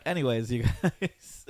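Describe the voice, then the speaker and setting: A hoarse man hosting a podcast in loose, rambling casual chat, full of fillers and swearing. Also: fairly high pitch, fairly bright tone, dry recording. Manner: rambling, loose and profane, with lots of fillers and laughs. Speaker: podcast host.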